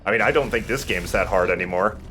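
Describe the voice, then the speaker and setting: A man's voice: on the low side, quick pace, bright, forceful tone, slight background noise, poor audio. A man giving casual gameplay commentary as the host who is playing. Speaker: let's play host, playing the game. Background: video game music.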